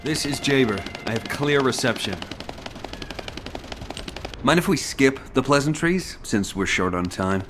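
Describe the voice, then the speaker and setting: A man plays a confident video game operative with a medium-pitched voice. He briefs briskly and in a businesslike way, sure of his own abilities. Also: lively intonation, background noise, natural voice. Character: brisk, self-assured military operative with arcane ability. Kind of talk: video game character acting.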